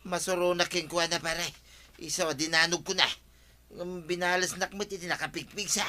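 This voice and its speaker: A man's voice: high voice, steady tone, a little fast, bright, forceful tone. A man acting a broke, pleading, hot-headed gambler in an Ilocano radio comedy drama, wheedling and complaining with an Ilocano Filipino accent. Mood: aggrieved, agitated. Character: broke, pleading gambler, a whining hothead villager.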